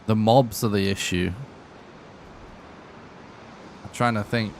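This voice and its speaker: A young man streaming live gameplay who is losing his voice, thinking aloud and clearing his throat often. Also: on the low side, steady tone, fairly quick, slight background noise. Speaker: game streamer.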